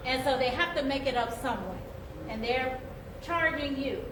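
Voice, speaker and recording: A woman at a town forum, speaking briskly and filling pauses with "and" and "uh". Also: high-pitched. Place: large echoey church hall. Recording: echoey hall, distant mic, room noise.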